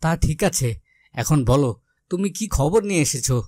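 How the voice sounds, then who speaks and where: Highish-voiced anxious king played by a man. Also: lively intonation, normal speed, a little mellow. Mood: expectant. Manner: dramatic, self-questioning monologue. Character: worried, brooding young king of fairy tale. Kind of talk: Bengali fairy-tale cartoon dubbing. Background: music.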